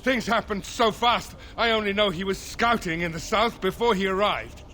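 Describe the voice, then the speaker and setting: Deferential guard, high-pitched, bright tone, earnest, fantasy game dialogue. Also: male voice, normal speed, a little hiss or hum. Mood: dutiful, urgent. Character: dutiful, deferential male castle guard.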